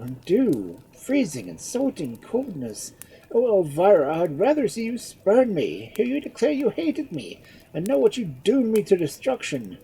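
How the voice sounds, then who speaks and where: A woman's voice: fairly low pitch, warm tone, slight background noise, voice pushed up, low-quality recording. A woman voicing a passionate young male lord who pleads and exclaims in a storybook reading.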